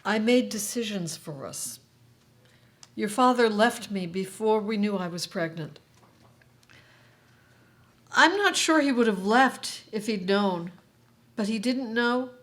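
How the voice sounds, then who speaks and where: An actress reading the part of a middle-aged Armenian-American mother in a staged play, speaking in a measured, reflective way. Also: mid-range voice, animated, a bit bright. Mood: earnest. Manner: measured, slow, reflective delivery.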